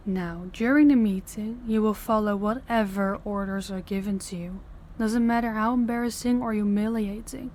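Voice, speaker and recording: Woman as dominant mafia boss, stern, condescending, commanding, lower-pitched female voice, audio roleplay, noisy background ambience. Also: a little mellow, lightly acted. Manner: imperious, clipped orders with patronizing praise. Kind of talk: audio roleplay addressed to the listener.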